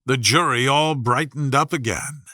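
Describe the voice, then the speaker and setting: Bright-voiced man narrating a bedtime story. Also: medium pitch, a little slow, dry recording, studio quality. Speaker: audiobook narrator.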